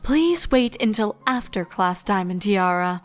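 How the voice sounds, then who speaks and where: Woman as kindly mare teacher, medium pitch, patient, regal, composed, instructive, storybook character, background music. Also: lively intonation, measured pace, lightly acted. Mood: mildly stern.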